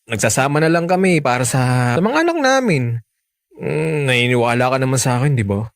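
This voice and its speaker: Coaxing married lover, lively pitch. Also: male voice, brisk, no echo. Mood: earnest pleading. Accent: Filipino. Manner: coaxing, earnest. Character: smooth-talking unfaithful married man, pleading and persuasive. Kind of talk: radio romance drama acting.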